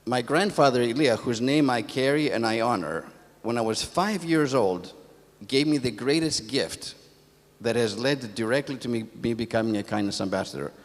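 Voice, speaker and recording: A man's voice: mid-range voice, normal speed. An older man from Greece who moved to America, giving a motivational talk in conversational, storytelling phrases. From born in Athens, Greece, moved to America as a child. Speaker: conference speaker, positive psychologist. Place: theatre stage. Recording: echoey hall, long reverb.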